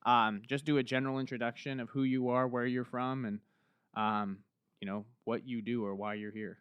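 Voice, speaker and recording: A male podcast host with a smooth, resonant voice, upbeat and ready with a joke. He sounds close and dry on a studio mic, with no room echo. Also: mid-range voice, little pitch movement, moderate pace, muffled recording. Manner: upbeat, joking, fluent with fillers. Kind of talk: casual podcast hosting.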